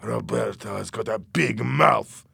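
A man playing an angry, defiant gunman in a story reading, shouting belligerent threats and demands. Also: mid-range voice, unhurried, vocal fry, slightly rough, no echo, studio quality, pitched above the performer's own voice, heavily acted. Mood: tense, commanding.

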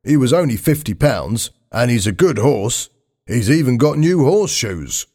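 A man reading aloud, voicing a soft-hearted, eager man in a brisk, slightly raised voice. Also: medium pitch, sing-song, voice pushed up. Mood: hopeful.

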